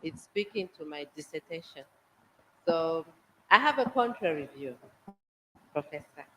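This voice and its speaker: Soft-spoken woman in an echoey hall. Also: deep voice, animated, a little slow, slight background noise. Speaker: audience member asking a question. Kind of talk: audience comment at a conference. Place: conference hall.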